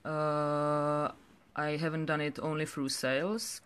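A young woman with a Czech accent speaks in a clear, smooth voice with very little rise and fall. She tells her own story unscripted, at an unhurried pace, often stopping and repeating words. She is close to a phone or webcam mic indoors. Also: deep voice, flat delivery. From Czech Republic. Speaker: direct sales director telling her story. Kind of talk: unscripted personal story to camera. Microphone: phone or webcam held close.

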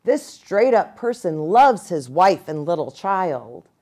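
A woman's voice: on the low side, big pitch swings, a little mellow, exaggerated voice. Woman acting a stern old bull buffalo chief. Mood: solemn proclaiming. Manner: grave, proclaiming, deliberate.

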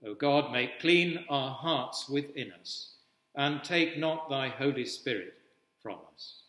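A man in his sixties, an Anglican priest, reading traditional prayers in a solemn, measured, formal way in an echoey church. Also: mid-range voice, pushed and bright. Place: indoors at a wooden-panelled cathedral altar. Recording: echoey church with long reverb.

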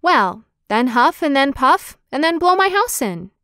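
Woman doing a high-pitched little storybook piglet. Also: big pitch swings, measured pace, sharp tone, no room sound, studio quality, exaggerated voice. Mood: cocky, defiant. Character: small, cheerful, defiant young male piglet. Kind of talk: storybook character voice.

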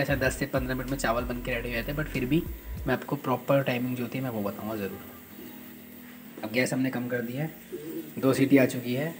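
A man films himself cooking and explains each step to his viewers in a level, steady voice. He talks in a small home kitchen, into a phone camera held close. Clear noise from the kitchen sits behind him. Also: mid-range voice. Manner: chatty, explains steps to viewers as he cooks. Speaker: vlogger. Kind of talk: casual cooking vlog.

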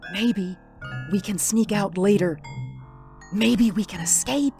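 A woman's voice: medium pitch, takes it slowly, vocal fry, dark tone, faint music behind. A woman playing a terrified, frantic wife in a suspense radio drama, breathless and pleading. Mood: hushed dread. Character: terrified, frantic wife hiding from alien invaders.

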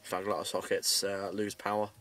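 British male hobbyist vlogger, lowish and very monotone, casual rambling update, slightly off-mic. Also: moderate pace, distant mic. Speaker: amateur radio hobbyist making a video update. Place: inside a parked car.

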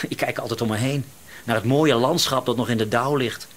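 An actor playing an eccentric, misanthropic middle-aged shopkeeper in a radio drama, musing to himself in a rambling, gloating way. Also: male voice, medium pitch, steady tone, brisk, close to an everyday voice. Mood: dreamy musing.

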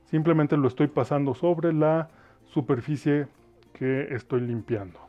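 A man's voice: on the high side, unhurried, highs cut off, soft background music. Mexican man demonstrating a cleaner. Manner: relaxed, explanatory, addresses viewers directly. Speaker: product demonstrator. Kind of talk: casual product demonstration. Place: kitchen, indoors. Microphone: phone camera.

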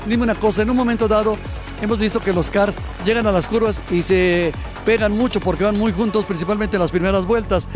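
High-voiced excitable Mexican TV host. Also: male voice, a little fast. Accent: Mexican Spanish. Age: middle-aged. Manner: enthusiastic, exclamatory. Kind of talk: TV sports interview. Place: outdoors by a fountain. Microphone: handheld mic. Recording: music bed under voices.

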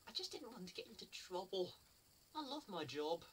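An actor playing a silly, excitable, sheepish bungler in a comic monologue, chattering in a flustered way. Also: male voice, sits high, moderate pace. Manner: flustered, chatting to himself and his pets. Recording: distant mic, faint background noise.

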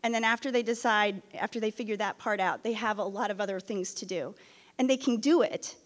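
A woman's voice: mid-range voice, fairly quick. A woman school board member speaking in a worried, heartfelt way at a desk microphone in a boardroom. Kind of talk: statement at a board meeting. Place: boardroom, indoors. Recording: boardroom with long reverb.

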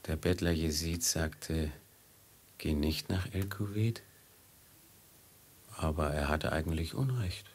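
Fatalistic merchant, 1950s radio drama, deep, very husky, halting weighty delivery. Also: male voice, a little mellow, heavily acted. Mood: regretful, musing. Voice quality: husky, gravelly. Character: brooding male merchant from Damascus, dazed and fatalistic. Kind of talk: 1950s German radio play acting.

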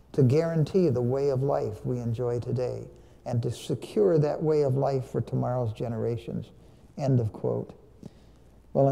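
Brief: older male lay preacher, gravelly and mellow, teacherly, quizzes the room. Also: mid-range voice. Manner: conversational, teacherly, asks the audience questions. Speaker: church speaker, veteran. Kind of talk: Veterans Day sermon talk with questions to the congregation. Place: large church sanctuary, standing before pulpit. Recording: long hall reverb.

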